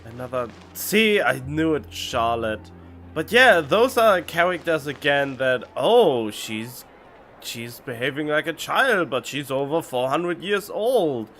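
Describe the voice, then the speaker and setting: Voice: medium pitch, expressive, takes it slowly, fairly bright tone, slight background noise. A game streamer giving casual live commentary on a video game.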